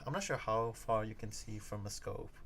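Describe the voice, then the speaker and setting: A man's voice: lowish voice, normal speed, fairly bright tone. A man reacting to game footage in casual chat, hesitant, with many fillers and restarts.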